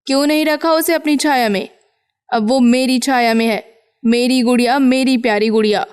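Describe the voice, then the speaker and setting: A woman as ancient cartoon witch, high-pitched, clear resonant tone, possessive, menacing, mock-sweet taunts. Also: fairly even intonation, normal speed, close to an everyday voice. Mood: mock-tender, possessive. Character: centuries-old female witch shadow, taunting and possessive. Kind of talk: cartoon story acting.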